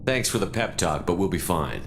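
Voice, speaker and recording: A resolute space-soldier commander for a video game, low in pitch. His delivery is terse and no-nonsense. Ambient noise is clearly audible behind the voice. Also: big pitch swings, a little fast. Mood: firm, curt. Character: blunt, resolute male military commander. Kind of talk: video game dialogue acting.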